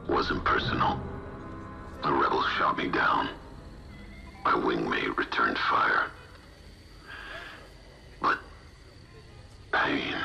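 Very deep, gravelly scarred pilot. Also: male voice, sharp tone, full character voice. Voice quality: gravelly, growling. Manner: terse, halting, brooding short phrases. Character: battle-scarred masked Imperial pilot, stoic and vengeful. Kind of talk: video game cutscene acting.

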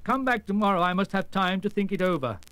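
A man's voice: high-pitched, animated, fast, fairly bright tone, dry recording, pitched above the performer's own voice. A man playing a grand, mysterious, self-important wizard in a storybook tale, declaiming pompously. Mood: uneasy. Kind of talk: storybook character acting.

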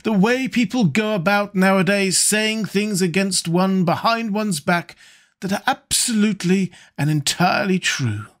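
A man voicing a witty, cynical aristocratic gentleman with a languid drawl. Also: sits high, a little slow, pitched above the performer's own voice. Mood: mocking.

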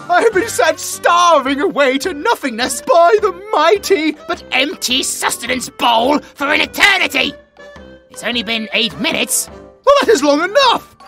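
A man's voice: extremely high pitch, gravelly, sharp tone, heavily acted. A British man voicing a bratty, self-important tiger warrior in a comedic audio roleplay, haughty, demanding and full of theatrical exclamations. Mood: indignant.

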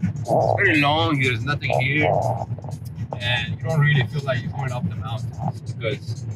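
Man from Iran, middle-aged, rambling casual talk, distant car mic, loud music behind. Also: mid-range voice, moderate pace. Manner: loose, rambling, many restarts. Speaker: vlogger. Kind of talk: casual chat while driving. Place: inside a large vehicle on a desert road. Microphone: camera mounted in the car.

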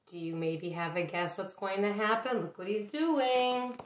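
A middle-aged woman reading a picture book aloud to young children in an expressive teacherly way, pausing to address her listeners. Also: mid-range voice, slight background noise. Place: small bedroom, indoors. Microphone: webcam or laptop at arm's length. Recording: roomy home webcam recording.

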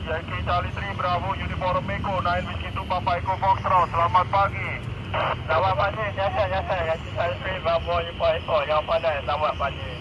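A high-pitched man calls over a two-way radio, spelling in phonetic words. The sound is dull and narrow, buried in very loud noise. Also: flat delivery, a little fast, long pauses. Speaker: amateur radio operator. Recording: narrow, very noisy radio reception.

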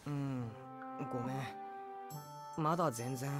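A woman playing a flustered, earnest, good-natured teenage boy in an anime audio drama, with stammering, excitable delivery. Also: extremely low pitch, slow. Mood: weary, low. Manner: stammering, excitable, reacts in outbursts. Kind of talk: Japanese anime drama CD acting. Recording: faint music behind the voice. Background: faint background music.

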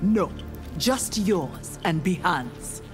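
A principled female warrior in a fighting game. She speaks in a low, slow, reproachful tone over a faint music bed. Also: expressive.

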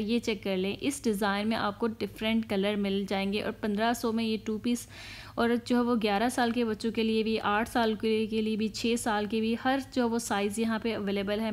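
A woman, giving a casual walkthrough of a clothing store as a shopping vlogger, listing prices as she goes. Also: fairly high pitch. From Pakistan. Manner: chatty, repeats filler phrases, lists prices.

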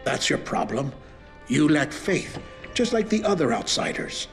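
An actor playing a wary, devout middle-aged village leader in a video game, speaking in a solemn, measured way. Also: male voice, fairly high pitch, very animated, creaky, soft background music, heavily acted. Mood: devout, stern.

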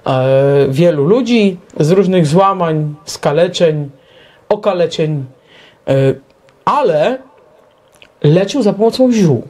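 A middle-aged man co-hosting a talk show, explaining his opinions in a halting monologue. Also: high-pitched, expressive, darkish tone.

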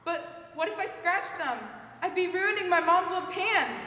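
A woman's voice: very high voice, unhurried, pushed and bright, heavily acted. A young woman acting a naive, eager housewife in a 1940s-style radio advert. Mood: worried. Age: young adult. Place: theater stage, standing mic. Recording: echoey hall, partly distant.

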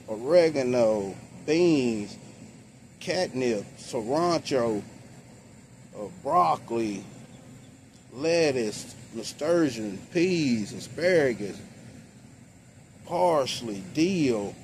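A middle-aged man talking casually and plainly as he shows gardening supplies, recorded outdoors in a backyard. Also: a little mellow. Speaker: home gardener vlogger. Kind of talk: casual product demonstration. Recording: noisy outdoor ambience, traffic-like rumble.

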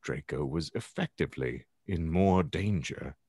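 A man's voice: extremely low pitch, expressive, measured pace, a little mellow, slightly rough, no room sound. A man reading fan fiction dramatically as a podcast host, in a theatrical manner, close to the microphone at home. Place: home room, on a video call.

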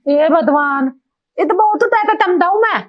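A cranky, insistent old mother-in-law with a thick childlike lisp, as a woman acts her out. Her voice is shrill and very high. The sound is dull and muffled. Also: lively intonation, measured pace. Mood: nagging. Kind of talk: comic skit acting.

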